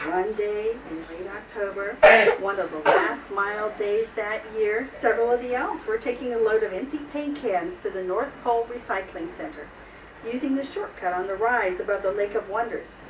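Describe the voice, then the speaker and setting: A woman reading a children's story aloud in an expressive storyteller's style, with theatrical pauses. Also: medium pitch. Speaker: storybook reader. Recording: roomy, distant mic, noisy background.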